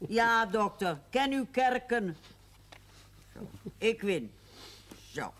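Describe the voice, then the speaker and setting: Feisty old aunt, high-pitched. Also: female voice, animated, drawn-out words, a bit bright, full character voice. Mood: playful, teasing. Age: older. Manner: teasing, playfully cheeky. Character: feisty, witty very old aunt, teasing and defiant. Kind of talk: television period drama acting.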